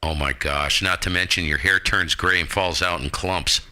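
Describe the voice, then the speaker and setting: A man with a deep voice and a strikingly bright, punchy tone. He talks casually as an amateur radio operator hosting a live stream. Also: steady tone, moderate pace.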